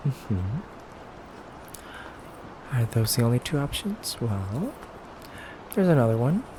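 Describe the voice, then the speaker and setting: Slow, soft-spoken menacing vampire played by a man. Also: medium pitch, very animated, noisy background. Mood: cold, persuasive. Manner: slow, deliberate, teasing and condescending, with breathy pauses. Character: beautiful effeminate male vampire, coolly menacing interrogator. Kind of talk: ASMR roleplay, soft intimate acting.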